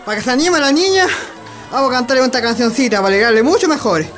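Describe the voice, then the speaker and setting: A man's voice: very high-pitched, lively intonation, very fast, a bit bright, soft background music. A man playing a flirtatious Chilean country cowboy declaiming singsong courtship verses in a radio play, with a Chilean accent. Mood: gallant, festive. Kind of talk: festive folk verse recitation in a radio play.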